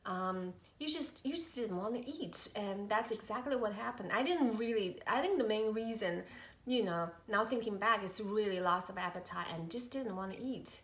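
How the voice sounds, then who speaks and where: A woman's voice: medium pitch, animated, a bit bright. Nasal mother chatting in an echoey room. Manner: rambling, halting, many fillers and restarts. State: nasal from a cold. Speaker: vlogger, mother of three. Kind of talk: casual chat to camera.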